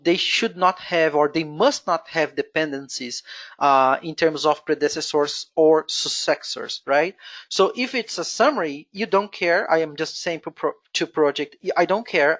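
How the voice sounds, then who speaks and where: Brazilian accent, Portuguese first language, webinar presenter, step-by-step software tutorial, thinking aloud. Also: mid-range voice. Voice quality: explains step by step, thinking aloud with frequent "you know". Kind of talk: screen-share software tutorial.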